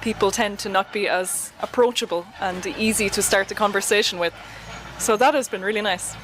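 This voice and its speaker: A woman's voice: mid-range voice, a little fast, fairly bright tone, a little hiss or hum, poor audio. A woman with an Irish accent, answering interview questions in a direct, confident way. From Ireland. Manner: direct, confident, advice-giving. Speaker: guest.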